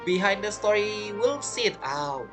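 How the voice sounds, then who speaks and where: A man's voice: high-pitched, expressive, a little slow. A male streamer comments casually while playing a game. He is chatty and reactive, with non-native phrasing. Faint game music runs behind his voice.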